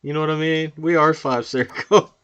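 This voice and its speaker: A man's voice: on the high side, steady tone, normal speed, a bit bright, clear, resonant tone. A man hosting a fantasy football podcast, chatting casually and joking around with his co-host and guest. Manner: laid-back, joking, laughs often.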